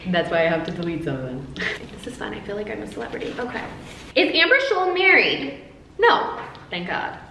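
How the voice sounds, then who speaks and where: A woman's voice: medium pitch, a bit bright, distant mic. Brief: young American woman, bubbly and jokey, swooping inflection, roomy echo. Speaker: YouTuber answering fan questions. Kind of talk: playful self-interview vlog. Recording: roomy echo, faint noise.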